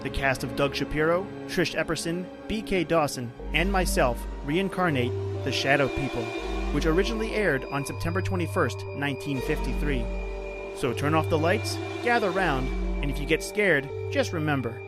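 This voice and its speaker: A man's voice: on the high side, expressive, normal speed. A man hosting a horror podcast with a scripted, theatrical announcer-style introduction. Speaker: podcast host. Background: music.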